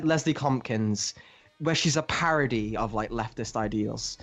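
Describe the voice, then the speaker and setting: A man co-hosting a podcast, giving emphatic, opinionated, sweary takes in a casual discussion. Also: fairly high pitch. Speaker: podcast co-host. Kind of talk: casual comic book discussion.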